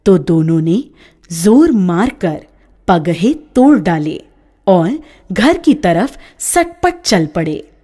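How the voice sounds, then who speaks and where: A woman narrating a village story in a measured, expressive way. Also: medium pitch.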